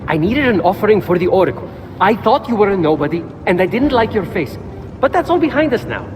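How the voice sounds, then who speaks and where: An actor playing a quick-witted, unapologetic young male thief in a video game, glib and persuasive. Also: sits high, very animated. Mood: glib, urgent. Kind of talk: video game fantasy acting. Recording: reverberant sound, music behind.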